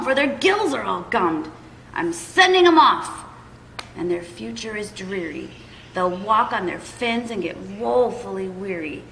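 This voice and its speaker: A woman voicing a small, grumpy male forest creature who scolds loudly and indignantly, with coughs between his complaints. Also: a little high, slight background noise. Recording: echoey hall with long reverb.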